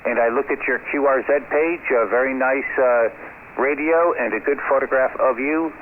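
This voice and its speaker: A man working as a ham radio operator, calling out with call signs and spelling letters phonetically over the radio at a measured, repetitive pace. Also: mid-range voice. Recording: noisy, tinny shortwave radio.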